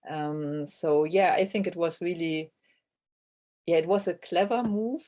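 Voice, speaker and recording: A woman with a low, mellow voice talks in a reflective interview style. She explains her ideas conversationally as an author and often closes a phrase with a small questioning tag. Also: a little slow.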